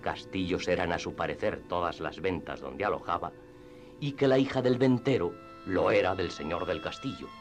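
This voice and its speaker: A man narrating classic literature in radio theatre style, with expressive storytelling and deliberate phrasing. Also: low-pitched. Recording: music under the voice. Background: background music.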